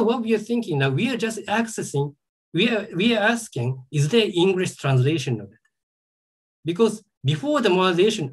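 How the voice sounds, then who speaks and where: Husky Japanese-accented man lecturing. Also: high-pitched, poor audio. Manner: halting, full of "you know" and "like" fillers. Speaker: academic lecturer. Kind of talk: seminar talk.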